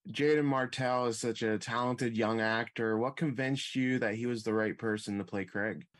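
A man's voice: mid-range voice, fairly even intonation, moderate pace, no echo. A man working as an entertainment journalist, asking conversational interview questions.

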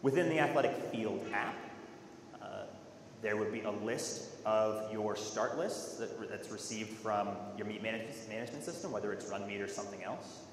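Low-voiced man presenting in an echoey hall. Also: moderate pace. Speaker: software presenter. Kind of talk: conference product presentation. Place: large dark conference auditorium, at a podium. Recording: echoey hall with faint noise.

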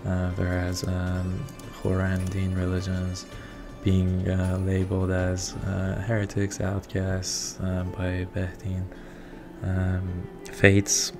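A man's voice: sits low, completely flat delivery, takes it slowly. A man whose first language is Persian, talking through a strategy game in hesitant commentary full of ums and uhs over background music. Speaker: strategy game video reviewer. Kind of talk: unscripted gameplay commentary.

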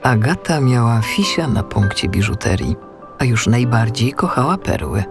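A woman narrates a children's story in a deep, gravelly voice with plenty of creak. Her scripted storytelling moves expressively up and down, with music behind. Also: sing-song, normal speed.

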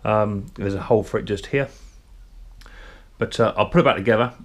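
A man's voice: lowish voice, brisk. A man, casually talking through a chainsaw brake repair in his workshop, chatty and a bit self-deprecating. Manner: chatty, self-deprecating, thinks aloud while working. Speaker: small engine repair video host. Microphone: head-mounted camera. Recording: clear background noise and tool clicks.

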